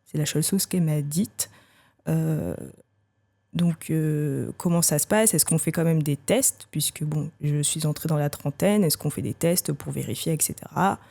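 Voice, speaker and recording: Mellow low-voiced woman, hesitant. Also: gravelly. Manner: hesitant and reflective, with frequent filler pauses. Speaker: podcast guest sharing a personal story. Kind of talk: candid personal testimony.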